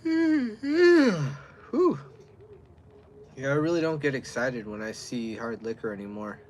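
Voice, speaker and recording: A man's voice: mid-range voice, very animated, slow pace, darkish tone, slight background noise. Rambling man chatting outdoors. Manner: rambling, answers chat, loose and plain-spoken. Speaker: livestreamer reviewing beer. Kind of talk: casual livestream chat. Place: outdoors in chilly air.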